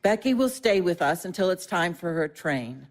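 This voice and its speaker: A woman's voice: lowish voice, expressive, moderate pace, close to an everyday voice. An actress playing an imperious, dry-witted older mother with a commanding, formal manner in a contemporary audio drama.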